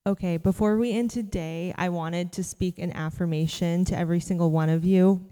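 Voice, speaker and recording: A woman guiding a congregation through meditation in a church. Her voice is earnest and gentle, with heartfelt pauses between questions. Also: on the low side, fairly even intonation, in a large hall. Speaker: worship leader. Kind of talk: guided meditation in a church service.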